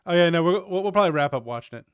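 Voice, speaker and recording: A man's voice: sits high, expressive, very fast, fairly bright tone, clean tone, no room sound. Clean, dry-voiced male streamer. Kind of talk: casual livestream commentary.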